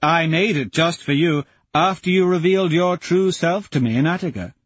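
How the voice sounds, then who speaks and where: A man's voice: fairly high pitch, normal speed, no room sound, dull audio, pitched above the performer's own voice, lightly acted. A man voicing a cruel, sneering young nobleman who speaks with cold mockery. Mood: mocking. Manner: mocking, cold, scornful.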